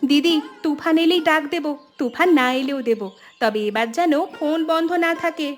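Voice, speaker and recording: A woman voicing an affectionate, pouting, playful younger sister in an animated family story. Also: high-pitched, normal speed, close to an everyday voice. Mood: insistent, affectionate. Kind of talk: animated family drama dialogue.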